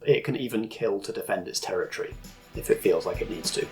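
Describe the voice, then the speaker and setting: A man running a tabletop role-playing game, explaining things in a measured way and pausing to pick his words. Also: fairly low pitch, over loud music. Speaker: game master.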